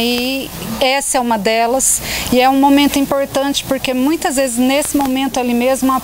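A woman in her forties talks into a handheld reporter mic in a measured, thoughtful way, her voice slightly high. Street noise is clearly audible around her outdoors. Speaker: education official. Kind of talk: on-camera news interview. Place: outdoors by a building, street traffic.

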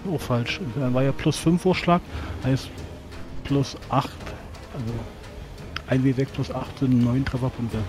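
A man's voice: medium pitch, a little slow. A man chatting casually as he works out numbers in a tabletop game. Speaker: tabletop role-playing player. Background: music.

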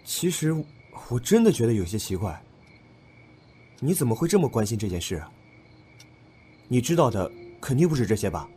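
Man acting a shrewd, mellow-voiced young man. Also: medium pitch, sing-song, normal speed, long pauses, a little hiss or hum, natural voice. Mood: probing, coaxing. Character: shrewd, probing young man among friends. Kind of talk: Chinese audio drama acting.

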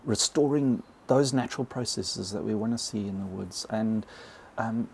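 Low, creaky South African man. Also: normal speed, darkish tone. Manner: hesitant, often repeating words. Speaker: bison ranger, podcast guest. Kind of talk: conversational podcast interview. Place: small log cabin. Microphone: close podcast mic on boom arm.

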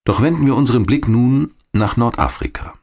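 A man narrating a scripted history documentary in a measured, articulate storytelling manner. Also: mid-range voice, animated, vocal fry, darkish tone. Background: music.

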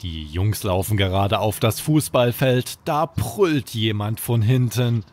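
Bedtime story host: male, slightly low pitch, slow scripted narration for children. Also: expressive, a little mellow.

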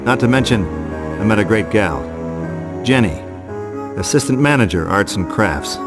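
Tough sardonic horror game hero, lowish voice, deadpan, big music behind him. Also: male voice. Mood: brooding, wry. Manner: deadpan, dramatic pauses. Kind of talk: scripted first-person horror game narration.

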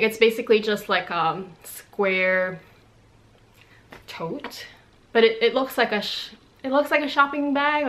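Woman in her 30s, first language cantonese, medium pitch, casual q&a vlog chat at home. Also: a bit bright. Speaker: fashion vlog host answering viewer questions. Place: small room at home, indoors.

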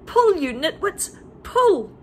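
A woman voicing a frantic, bossy male monkey father who shouts orders at everyone in a storybook reading. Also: extremely high pitch, sing-song, slow pace, slight background noise, pitched above the performer's own voice, exaggerated voice. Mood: shouting.

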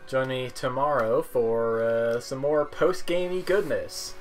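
A man giving casual game commentary in a halting, musing way, sometimes reading text aloud. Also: mid-range voice. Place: indoor room at home, seated. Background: game music.

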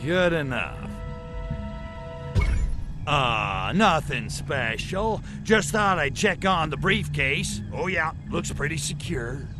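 Brash alien invader for a game cutscene: American, sardonic, highish swinging pitch, drawn-out delivery. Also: male voice, lively intonation, music in the background. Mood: scheming, exasperated. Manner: sardonic, slangy American wise guy. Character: brash, wisecracking, cynical male alien invader. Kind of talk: video game cutscene acting.

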